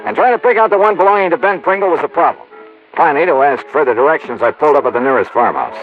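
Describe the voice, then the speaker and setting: A man playing a breezy, probing insurance investigator in an old American radio detective drama, crisp and wry. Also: on the high side, expressive, rapid-fire, soft background music, lightly acted. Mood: brisk, wry. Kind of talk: 1950s radio detective drama, dialogue and first-person narration. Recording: dull, noisy old radio recording.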